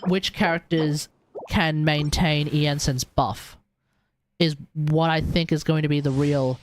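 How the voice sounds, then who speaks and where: A man's voice: on the high side, fairly even intonation, takes it slowly, slight background noise. A man doing casual livestream game commentary, rambling and thinking aloud over game music. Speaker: game streamer. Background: video game music.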